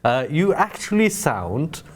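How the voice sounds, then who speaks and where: A man hosting an interview, asking measured, thoughtful questions with occasional fillers. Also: a little high, big pitch swings. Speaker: interviewer host.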